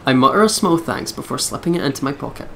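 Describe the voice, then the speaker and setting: A man's voice: mid-range voice, lively intonation, fast talker, vocal fry, bright, forceful tone, a little hiss or hum. A man hosting a let's play, reading visual novel narration aloud expressively with casual commentary.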